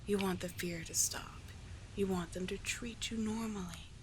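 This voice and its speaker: A woman playing a cunning, doting sea witch in an ASMR roleplay, breathy and measured, with coaxing, condescending endearments. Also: medium pitch, close to an everyday voice. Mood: soft, soothing. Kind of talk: ASMR roleplay, scripted monologue. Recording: clear steady background ambience noise.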